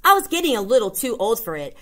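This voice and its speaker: Animated woman reading a storybook aloud. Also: fairly high pitch, fast. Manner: animated, emphatic delivery. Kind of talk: expressive children's picture book reading. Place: indoors, small classroom or office.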